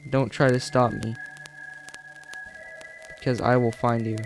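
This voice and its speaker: A man's voice: mid-range voice, level tone, measured pace, creaky, faint music behind, rough recording. A man voicing a vengeful, obsessed man fused to a mascot suit, in short insistent threats from a horror tape. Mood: threatening, louder. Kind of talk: horror tape monologue read aloud.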